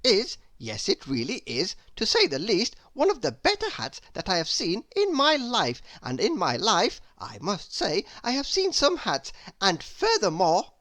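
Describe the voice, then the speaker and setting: A woman voicing an excitable, silly man from a children's storybook who rambles on in repetitive chatter. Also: mid-range voice, a little slow, few pauses, dry recording, pitched above the performer's own voice. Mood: pompously delighted. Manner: rambling, repetitive chatter piling clause upon clause. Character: excitable, silly, endlessly babbling cartoon man.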